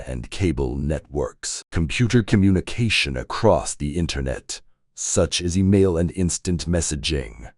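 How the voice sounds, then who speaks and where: Very deep-voiced man reading aloud. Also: a little mellow, dry recording, clean studio sound. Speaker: exam practice reader. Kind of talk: scripted read-aloud of short educational passages.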